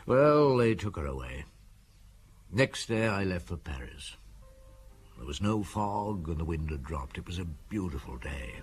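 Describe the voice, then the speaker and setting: A man's voice: deep voice, sing-song, a little slow, soft background music. A man playing a stern, relentless chief inspector in an audio drama, blunt and commanding as he accuses. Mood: subdued, grave. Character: stern, relentless male police chief inspector, menacing and sure. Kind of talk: audio drama acting, interrogation and narration. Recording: clearly noisy drama recording.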